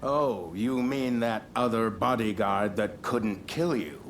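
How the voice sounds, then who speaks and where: An actor playing a sly, glib dignitary in a video game, with a teasing, drawling manner. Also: male voice, slow. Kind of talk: video game cutscene acting. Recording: clear rumbling background noise.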